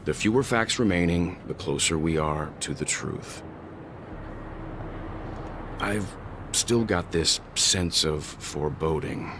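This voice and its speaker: An actor playing a grave, methodical mature man in a video game story, speaking seriously and with deliberate reserve. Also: extremely low pitch, noisy background. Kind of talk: scripted game story dialogue. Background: background music.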